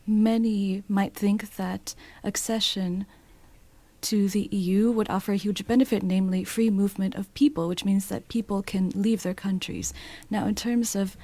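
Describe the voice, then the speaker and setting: A woman interpreting a meeting speech simultaneously, relaying it at a measured, steady pace. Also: medium pitch, no echo.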